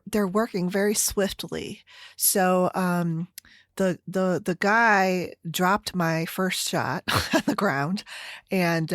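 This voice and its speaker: A middle-aged woman with an American Midwestern accent chatting casually and haltingly as a solo podcast host, meandering through her stories. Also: medium pitch, no echo, clean studio sound. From Chicago area, United States. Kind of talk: casual solo chit-chat.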